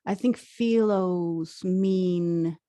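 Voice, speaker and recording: A woman's voice: lowish voice, drawn-out words, warm tone. A woman hosting a casual interview, asking her guest questions in a friendly way. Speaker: podcast host.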